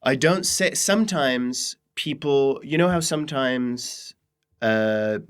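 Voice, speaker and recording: A man's voice: medium pitch, takes it slowly, clean tone. A man chatting casually as a comedian guest in an interview, with playful, dry jokes and mock-earnest asides. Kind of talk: casual conversational interview chat.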